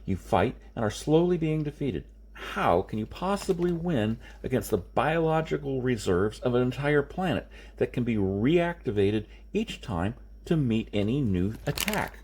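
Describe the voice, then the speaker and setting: Man reading as an emphatic, husky science fiction hero. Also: mid-range voice, animated, a little slow, a little mellow, pitched above the performer's own voice. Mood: grim, emphatic. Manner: emphatic, explanatory. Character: insistent, reasoning man lecturing his listeners, science fiction hero. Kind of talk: audiobook character dialogue.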